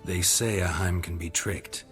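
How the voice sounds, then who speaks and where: Very deep-voiced, terse monster hunter. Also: male voice, measured pace. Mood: grave, explanatory. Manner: terse, deadpan, matter-of-fact. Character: stoic, weathered male monster hunter, methodical and world-weary. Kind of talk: video game cutscene acting. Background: loud music.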